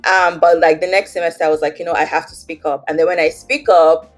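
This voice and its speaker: A woman's voice: deep voice, flat delivery, fast talker, faint music behind. A young woman with a Nigerian accent, telling her story as an interview guest in a chatty way, with many fillers. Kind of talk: casual interview, personal story.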